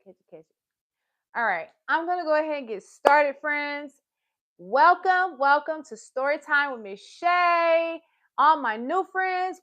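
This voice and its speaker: A woman hosting a live story time for children, excitable and encouraging, often repeating phrases and addressing her listeners as friends. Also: fairly high pitch.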